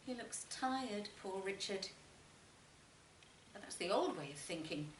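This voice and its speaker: A woman reading literary fiction aloud in an expressive, measured narration with emphatic phrasing. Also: mid-range voice. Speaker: short story reader. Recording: roomy, distant mic, faint noise.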